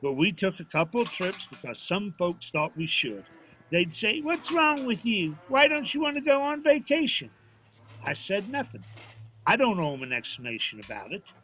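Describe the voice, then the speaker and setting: A man's voice: high-pitched, very animated, normal speed, bright, forceful tone, full character voice. An actor playing a stubborn, proud, plainspoken old father from a small town, folksy and prickly, in an audio drama. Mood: firm, proud. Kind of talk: naturalistic audio drama acting at a live reading. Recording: faint noise of live stage performance.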